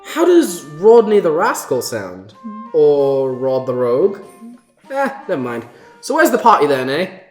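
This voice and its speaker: A cheeky, gap-toothed rogue of a fairy man, played by a woman. The delivery is chirpy and colloquial, swinging about in pitch, in a slightly roomy recording with music behind. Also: huge pitch swings, unhurried, a little mellow, exaggerated voice. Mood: playfully rueful.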